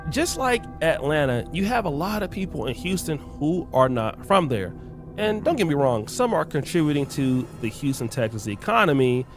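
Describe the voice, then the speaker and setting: A man narrating a scripted commentary video in a lively, emphatic explaining manner. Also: fairly high pitch.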